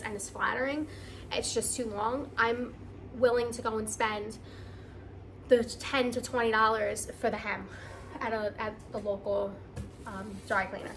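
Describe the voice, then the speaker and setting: Higher-voiced excited woman chatting, some noise. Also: poor audio. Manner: gushing, excited, conversational. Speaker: vlogger. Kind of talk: casual unboxing chat to camera.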